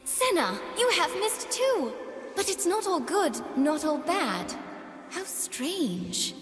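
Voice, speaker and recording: An actress voicing a cheerful, curious young doll-like seamstress heroine for a video game, with prim, wondering, theatrical sweetness. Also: female voice, very high voice, very animated, takes it slowly, a bit bright, soft background music, exaggerated voice. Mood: puzzled. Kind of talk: video game character voice lines. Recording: game audio with long hall reverb.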